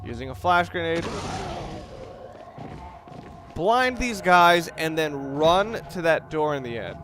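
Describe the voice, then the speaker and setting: A man's voice: a little high, animated, slow, a bit bright. A man giving casual, wry and rambling commentary as he talks through a video game walkthrough. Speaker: let's play commentator. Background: game music and sound.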